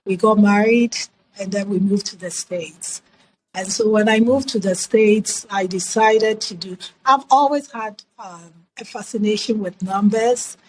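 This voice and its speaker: A middle-aged woman with a Ghanaian accent, telling her life story as an interview guest in a measured, reflective way with emphatic repetition. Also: medium pitch, steady tone, poor audio.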